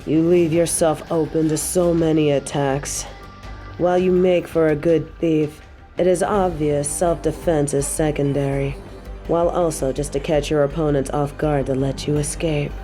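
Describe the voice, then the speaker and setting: A woman's voice: low voice, steady tone, mellow, soft background music, close to an everyday voice. A woman playing a possessive, imperious evil queen in an audio roleplay, taunting and haughty. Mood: condescending, taunting. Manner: slow, taunting, imperious, with breathy drops to a whisper. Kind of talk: scripted audio roleplay drama.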